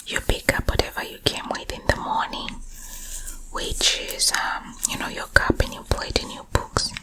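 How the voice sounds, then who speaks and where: A woman from Uganda telling personal stories in a conversational, rambling ASMR style. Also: moderate pace. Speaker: storyteller recalling her own school days. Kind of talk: whispered ASMR storytime.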